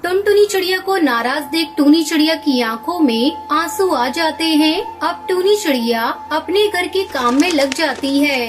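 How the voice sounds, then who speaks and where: Very high-pitched woman story narrator. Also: lively intonation, normal speed, few pauses. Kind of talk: scripted children's story narration. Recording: music under the voice.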